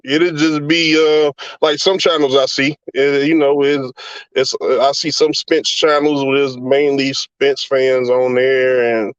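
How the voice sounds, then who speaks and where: A man's voice: mid-range voice, unhurried, smooth, no echo. Man rambling on dull dry audio. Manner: relaxed, rambling with frequent fillers. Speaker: guest co-host. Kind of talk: casual chat.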